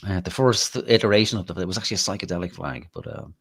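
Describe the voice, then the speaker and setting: A man's voice: sits low, lively intonation, rapid-fire, gravelly, a bit bright, breathy edge. An Irish man hosting a podcast in casual chat, crude and mocking, often breaking into laughter. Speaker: podcast host.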